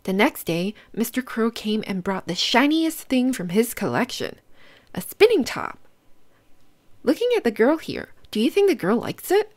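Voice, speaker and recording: Lady host reading a picture book to kids, highish animated voice, simple teachery questions. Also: moderate pace. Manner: instructive, simple child-directed phrasing. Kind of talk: children's read-aloud with questions to listeners.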